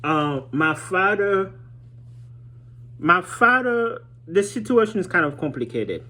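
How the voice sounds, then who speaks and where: A man, telling a personal story informally as a vlogger, halting and reflective as he searches for words. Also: high voice, very animated, sharp tone. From Haiti. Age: 30s.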